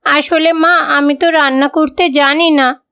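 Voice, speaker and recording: A woman's voice: high voice, steady tone, measured pace, fairly bright tone, dry recording, faint music behind. A woman voicing a spoiled, haughty, lazy rich young daughter-in-law in a cartoon. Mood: entitled.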